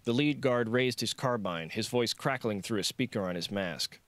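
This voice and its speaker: A man's voice: medium pitch, normal speed, a bit bright, no room sound. A man reading scripted narration for a thriller audiobook.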